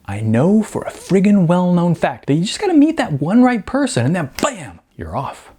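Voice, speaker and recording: A man voicing a cocky, excitable young slacker in an audiobook, talking in brash, insistent dude slang. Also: high voice, moderate pace, breathy edge, pitched above the performer's own voice, heavily acted. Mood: excited, cocksure. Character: excitable, cocky young male slacker dreamer, record store clerk.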